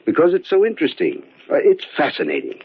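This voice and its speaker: Bookish, animated, husky bird lover. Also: male voice, on the high side, fast talker, heavily acted. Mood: earnest enthusiasm. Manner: pedantic, hesitant, enthusiastic. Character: bookish, earnest male bird enthusiast, absorbed and eager. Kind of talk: old-time radio drama acting. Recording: tinny, narrow-band old radio recording.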